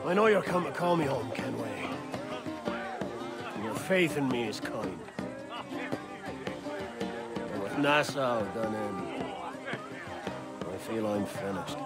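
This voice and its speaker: Weary old-salt pirate captain, gravelly, swings way up and down, game cutscene. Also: male voice, lively intonation. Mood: weary, resigned. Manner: slow, theatrical, swinging from low talk to roaring. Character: weary, boisterous male pirate captain ready to retire. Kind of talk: video game cutscene acting. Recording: music behind the voice.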